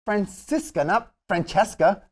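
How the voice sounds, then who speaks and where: A man's voice: high-pitched, lively intonation, measured pace. A man playing an anxious, incredulous young nephew in a comedy table read, nervous and exclaiming. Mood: shocked.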